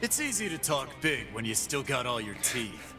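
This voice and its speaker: An actor voicing a cocky, brawling male fight-pit boss in game voice lines, full of gruff, boastful trash talk, with game music behind. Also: medium pitch, very animated, normal speed, close to an everyday voice.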